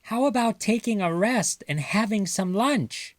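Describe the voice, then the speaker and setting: A man voicing a sensible, caring mother mouse from a storybook, gently firm. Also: sits high, lively intonation, unhurried, no room sound, voice pushed up.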